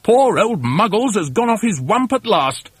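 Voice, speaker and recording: A man's voice: sits high, sing-song, measured pace, slightly rough, no room sound, voice pushed up, exaggerated voice. A man voicing a touchy, squeamish bird in a storybook, protesting in a plaintive, indignant manner. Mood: mocking. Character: touchy, squeamish, outspoken roly-poly bird.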